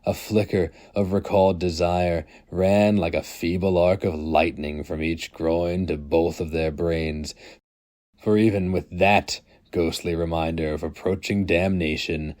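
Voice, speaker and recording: A man narrating a dark story as a dramatic reading, with slow, somber, deliberate delivery. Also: sits low.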